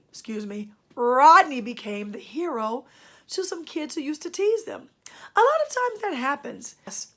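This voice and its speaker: A woman reads and talks to children as a storyteller. Her high voice moves up and down a great deal, and she phrases things slowly and emphatically. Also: pushed and bright. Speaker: storyteller and host of children's read-alouds. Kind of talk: expressive picture-book read-aloud and talk to children.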